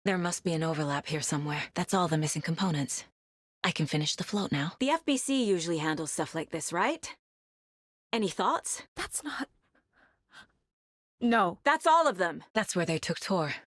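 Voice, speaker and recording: A woman's voice: fairly low pitch, animated, moderate pace, fairly bright tone, dry recording, studio quality. A woman playing a determined, analytical FBI agent and mother in a video game, speaking in a serious, naturalistic way. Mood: businesslike, then alarmed. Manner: serious, matter-of-fact, analytical. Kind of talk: naturalistic game voice acting, inner monologue and dialogue.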